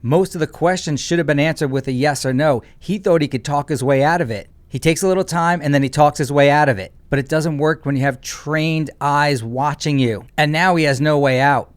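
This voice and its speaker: A male legal commentator with a slightly high voice reacts to courtroom testimony. He is blunt and opinionated, with a mocking edge to his remarks. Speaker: lawyer commentator and host.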